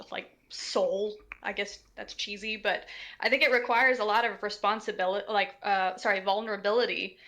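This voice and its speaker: A woman's voice: mid-range voice, sharp tone. A woman speaking unscripted on a commentary panel, earnest and a little tentative, correcting herself as she goes.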